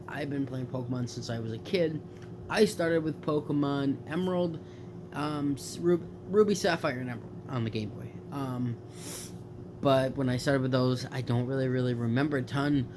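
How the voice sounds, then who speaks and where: A man's voice: medium pitch, noisy background. Rambling stuffed-up young man, late-night gaming chat. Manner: rambling, lots of fillers and self-corrections. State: stuffed up from allergies. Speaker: gaming YouTuber.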